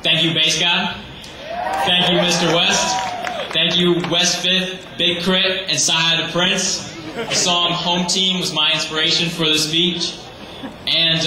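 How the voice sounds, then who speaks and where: A teenage boy giving an earnest, slightly halting graduation speech as salutatorian. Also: a little high. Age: teens. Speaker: graduating student. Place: large hall or gym. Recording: echoey hall, far from the mic, noisy.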